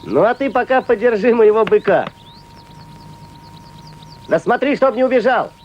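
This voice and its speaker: A man's voice: very high voice, lively intonation, normal speed, dull audio, slight background noise. A man voicing a sly, cheerful folk-hero trickster in a cartoon, coaxing in a sing-song way with feigned innocence. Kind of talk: animated film voice acting.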